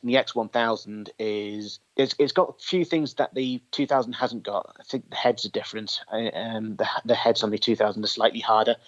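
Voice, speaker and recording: A man chatting as an interview guest, explaining technical details at length. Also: on the low side. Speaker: podcast guest, tape machine collector. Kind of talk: conversational interview. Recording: dull, lo-fi sound.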